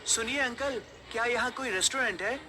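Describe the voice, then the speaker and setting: A man playing an earnest, easily scared young bachelor in a cartoon horror story, plaintive and excitable. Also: extremely high pitch, fairly quick, over music, low-quality recording. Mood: worried. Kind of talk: acted dialogue in a Hindi horror cartoon story.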